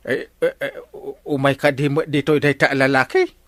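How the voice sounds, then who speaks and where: A man's voice: medium pitch, level tone, normal speed, fairly bright tone, no echo, natural voice. An actor with an Ilocano accent playing a boastful, flustered male village captain in a comedy radio drama, stammering and blustering.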